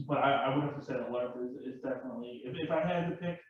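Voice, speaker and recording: A man telling personal stories casually as a panel guest, in a halting way that repeats words. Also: mid-range voice, a little hiss or hum. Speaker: live action roleplay enthusiast, panel guest. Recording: very echoey room, distant mic, muffled.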